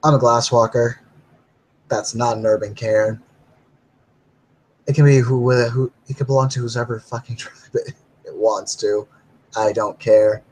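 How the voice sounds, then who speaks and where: A man's voice: mid-range voice, steady tone, unhurried, pauses often, a little mellow, lightly acted, rough recording. A man playing an easygoing male werewolf who talks bluntly and swears casually, in his own voice.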